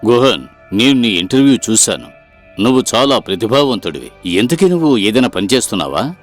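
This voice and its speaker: A man's voice: medium pitch, very animated, brisk, soft background music, natural voice. A man voicing a confident, encouraging businessman in a cartoon moral story, speaking in a businesslike way. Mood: curious, approving.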